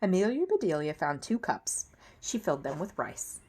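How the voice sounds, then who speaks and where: A woman reading a children's story aloud in an expressive storytelling style. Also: lowish voice, normal speed, husky. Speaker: storybook reader.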